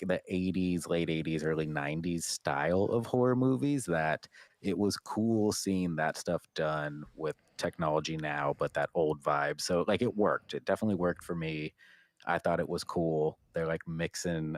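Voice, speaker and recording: Male co-host, notably low-pitched, laid-out in loose, hesitant, rambling casual talk. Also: moderate pace, dry recording, clean studio sound. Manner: hesitant, rambling, repeats himself. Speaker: podcast co-host reviewing films. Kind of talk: casual chat.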